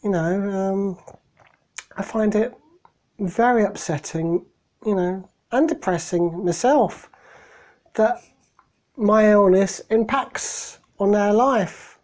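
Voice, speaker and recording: A middle-aged man, hoarse from a cold, talking haltingly and emotionally into a webcam in a small room at home. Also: high-pitched, darkish tone. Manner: halting, emotional, many restarts. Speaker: vlogger. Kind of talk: personal video diary. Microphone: webcam at desk.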